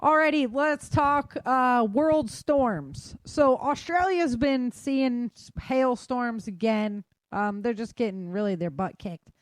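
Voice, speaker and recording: A woman's voice: fairly high pitch, slow pace, few pauses, no room sound. A woman hosting a podcast, giving a casual news roundup in an informal, chatty, colloquial way. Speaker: podcast host. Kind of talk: casual news roundup of world weather and disasters.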